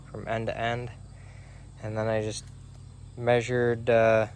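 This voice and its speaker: A man casually walking through his trailer build outdoors, talking slowly with lots of ums and uhs. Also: medium pitch, slight background noise. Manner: slow, relaxed, many ums and uhs. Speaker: DIY sawmill builder. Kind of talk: casual workshop walkthrough.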